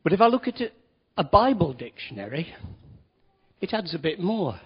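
A man's voice: high-pitched, sing-song, moderate pace, slightly rough. A man giving a conversational sermon in a church hall, with wry asides and emphatic stresses. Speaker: lay preacher. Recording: dull lo-fi recording.

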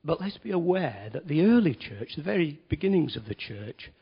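Male lay preacher, fairly high husky voice, chatty with wry asides, muffled low-fi recording. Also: very animated, moderate pace. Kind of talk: conversational sermon. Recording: dull lo-fi recording.

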